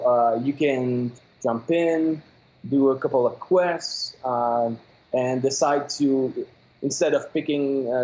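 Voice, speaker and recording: A man's voice: fairly high pitch, warm tone. Quebecois man in his 40s, French first language, hesitant second-language English, muffled call audio. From Quebec, Canada. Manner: halting, searches for English words, many uh fillers. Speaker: game developer guest. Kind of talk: phone-in interview. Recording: dull lo-fi call line.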